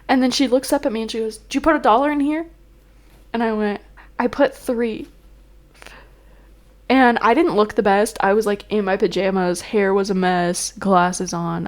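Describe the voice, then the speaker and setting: A young woman vlogging in a chatty, rambling way, full of fillers, a little congested and sniffling. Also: medium pitch. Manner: chatty, venting, exasperated. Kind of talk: casual chat.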